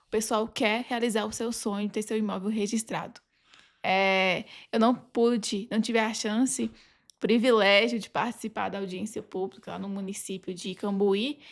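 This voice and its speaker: A Brazilian woman talks as a guest on a podcast. Her voice sits fairly high and carries heavy vocal fry. She explains her points earnestly and conversationally, often adding little check-in tags. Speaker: podcast guest, legal advisor.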